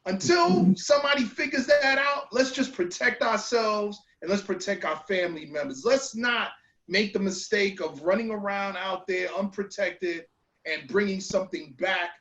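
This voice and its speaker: A man's voice: high voice, moderate pace, a bit bright. A man from New York co-hosting a legal talk show, making earnest, emphatic appeals. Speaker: lawyer co-host. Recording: echoey dull remote audio.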